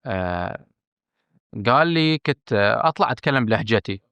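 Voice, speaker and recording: A man with a Bahraini accent, a film director speaking candidly as a podcast guest, emphatic and earnest, often pausing on fillers and restarting. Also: mid-range voice, lively intonation, a bit bright, smooth, no echo. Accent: Bahraini Arabic. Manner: earnest and emphatic, stressing his frankness, with hesitant fillers and restarts.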